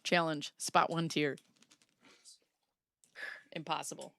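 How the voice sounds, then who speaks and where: A woman's voice: low-pitched, little pitch movement, takes it slowly, vocal fry, a bit bright. A woman co-hosting a podcast, reacting to a clip with wry running gags. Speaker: podcast co-host. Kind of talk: casual reaction commentary.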